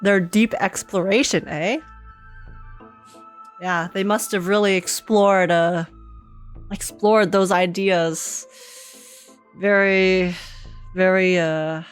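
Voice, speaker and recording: A woman's voice: mid-range voice, steady tone, slow, long pauses, smooth. A woman streamer giving playful, teasing and exclamatory commentary while reacting to a game. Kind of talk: playful gaming commentary with dramatic read-alouds. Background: music behind the voice.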